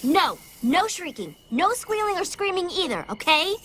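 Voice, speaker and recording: A woman voicing an excitable female pony in an animated cartoon clip. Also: extremely high pitch, normal speed, heavy background noise. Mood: insistent. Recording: cartoon clip over loud music.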